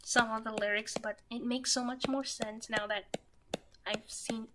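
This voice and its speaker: A young woman streaming herself while drawing, talking softly and half-whispering, a little nervous, with pen scratching heard behind her. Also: a little high, fairly even intonation, measured pace. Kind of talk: casual live-stream chat while drawing. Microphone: desk microphone that picks up pen scratching. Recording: noisy home stream recording.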